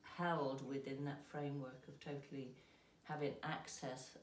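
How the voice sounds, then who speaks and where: A trauma healing trainer speaks calmly, setting out ideas and posing searching questions. The voice sits some way from the mic, so it sounds roomy and a little distant, with faint background noise. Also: mid-range voice, unhurried. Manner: calm, explanatory, asks probing questions. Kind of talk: conversational discussion.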